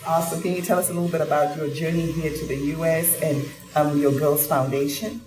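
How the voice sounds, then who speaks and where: A woman's voice: deep voice, fairly even intonation, a little fast. A woman hosting a talk show, welcoming a guest and summing up the chat in an easygoing way. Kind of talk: casual chat. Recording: echoey room, mic far off, music behind.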